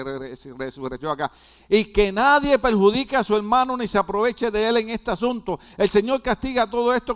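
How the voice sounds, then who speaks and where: Older Puerto Rican pastor preaching. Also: male voice, sits high. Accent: Puerto Rican Spanish. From Puerto Rico. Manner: preaching with rhetorical questions to the congregation; builds to loud, emphatic climaxes. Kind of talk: sermon.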